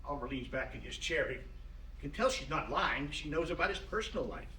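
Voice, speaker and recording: A man's voice: fairly high pitch, animated, a little fast, pushed and bright. A man reading stage directions as scripted narration for a staged play reading. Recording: echoey room, distant mic, noisy.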